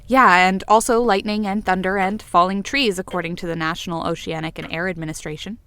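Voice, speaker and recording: A woman's voice: fairly low pitch, fairly even intonation, fairly quick, pushed and bright, clean tone. A woman playing an exuberant, bossy, playful friend with a theatrical, wordy manner in a fiction podcast. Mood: dramatic, coaxing.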